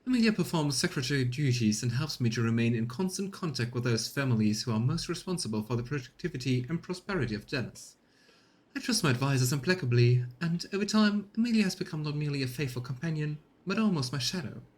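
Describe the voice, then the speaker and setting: A man's voice: a little high, lively intonation, quick pace, lightly acted. A man voicing a courtly, self-assured female governor in a video game, speaking in formal, flowery and deferential phrases. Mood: gracious. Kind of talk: read-aloud game dialogue.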